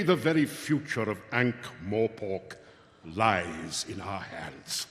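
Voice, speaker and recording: Slow, pompous cult grand master. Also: male voice, medium pitch. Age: middle-aged. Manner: grandiose, slow ceremonial delivery. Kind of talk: live radio-theatre acting. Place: stage in a large hall. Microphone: stand microphone. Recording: echoey live stage hall.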